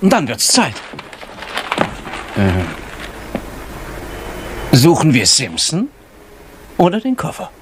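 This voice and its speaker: Casting: a keen, rather dim detective's companion, raised pitch, swooping delivery, radio crime play. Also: male voice, wildly swooping pitch, takes it slowly, pauses often, slight background noise, heavily acted. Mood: eager, puzzled. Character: eager, slightly slow-witted English gentleman, detective's male sidekick. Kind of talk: German radio crime drama acting.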